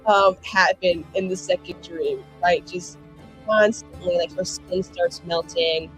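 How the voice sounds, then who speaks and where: A woman's voice: lowish voice, steady tone, measured pace, rough recording. A woman telling a story in a loose, conversational, unscripted way, often peppering it with "like". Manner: loose, conversational, repeatedly says "like". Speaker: tabletop roleplaying show player. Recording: music playing behind the voice. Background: music.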